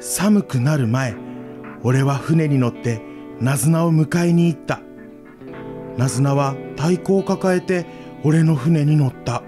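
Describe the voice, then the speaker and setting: An actor playing a gentle, devoted young Jomon-era village man in a radio drama. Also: fairly high pitch, a little slow, natural voice. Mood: reminiscing. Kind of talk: radio drama acting with storytelling narration. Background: instrumental music.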